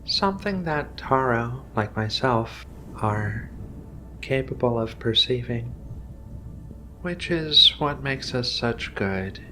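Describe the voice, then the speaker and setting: Slow, soothing man in bright ASMR roleplay. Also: mid-range voice, a little hiss or hum. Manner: soothing, unhurried, reassuring. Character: gentle furry alien researcher, soothing and philosophical. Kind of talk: soft-spoken ASMR roleplay.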